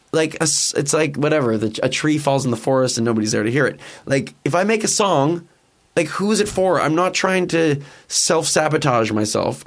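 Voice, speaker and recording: A Canadian man, a musician guest, chatting casually in a rambling, joking way full of fillers and restarts. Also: a little high. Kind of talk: casual conversational interview.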